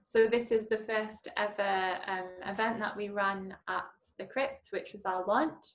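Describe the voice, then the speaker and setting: A woman's voice: medium pitch, fairly even intonation, a little slow. A woman from Manchester, an artist explaining her gallery's exhibitions on a video call, with some fillers. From Manchester, England.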